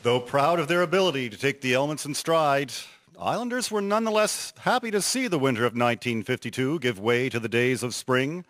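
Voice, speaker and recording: A man's voice: mid-range voice, fairly bright tone, no room sound. A man narrating a scripted historical story as a storyteller.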